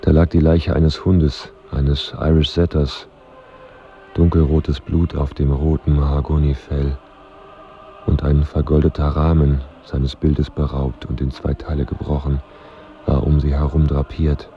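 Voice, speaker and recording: An actor playing a grave, laconic knight in a radio drama, speaking in a measured, deadpan, solemn way. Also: male voice, extremely low pitch, muffled recording, soft background music. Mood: sombre, detached. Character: grave, laconic wandering knight recounting the ruins of war. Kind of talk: literary radio drama narration.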